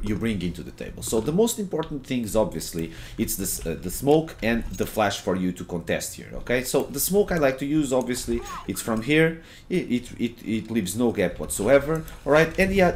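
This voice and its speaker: A young man from Portugal with a Portuguese accent, giving a relaxed, halting game tutorial at home with lots of fillers and restarts. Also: lowish voice, slight background noise. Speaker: gaming tutorial creator. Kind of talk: casual game tutorial commentary. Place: home, at his computer.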